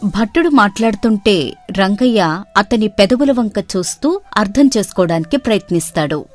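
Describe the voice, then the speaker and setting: A woman storyteller narrating a comic bedtime tale at a steady pace. Her voice sits at an ordinary pitch, and soft music plays faintly behind her. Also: moderate pace.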